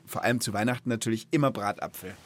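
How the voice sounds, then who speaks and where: A man's voice: fairly low pitch, very fast. A man in his thirties hosting a children's podcast, chatting and telling personal stories. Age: 30s. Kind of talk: conversational storytelling and chat for children.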